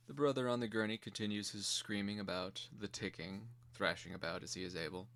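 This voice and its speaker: Low voiced guy reading a screenplay out loud, dramatic and measured. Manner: measured, theatrical. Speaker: narrator.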